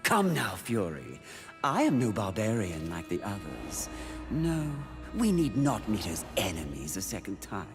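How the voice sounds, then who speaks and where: An actor playing a silky, seductive embodiment of sin in a video game, theatrical and teasing, drawing words out and dropping into whispers. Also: female voice, rumbling low, very animated, unhurried, full character voice. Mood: coaxing. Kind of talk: dramatic video game acting. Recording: long reverb with music behind.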